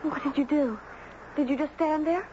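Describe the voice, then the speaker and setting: A woman playing a mysterious, artless teenage girl with a clear young voice and a simple, direct manner in a 1970s radio drama. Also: high voice, normal speed, close to an everyday voice. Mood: curious. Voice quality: clear, youthful. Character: mysterious teenage island girl, artless, curious, self-possessed. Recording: dull, noisy old radio broadcast.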